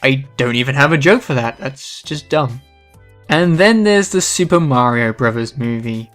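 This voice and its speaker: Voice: medium pitch, animated, normal speed, fairly bright tone, faint music behind. A video essay host giving scripted, opinionated commentary with an emphatic delivery.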